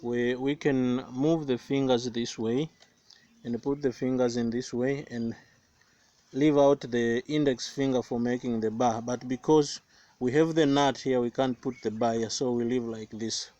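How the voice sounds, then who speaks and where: Slow Tanzanian man teaching guitar. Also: mid-range voice, fairly even intonation, dark tone, no room sound, lo-fi sound. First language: Swahili. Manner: slow, careful explaining with many fillers. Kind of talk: guitar tutorial. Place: outdoors by banana plants. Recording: faint guitar playing behind voice.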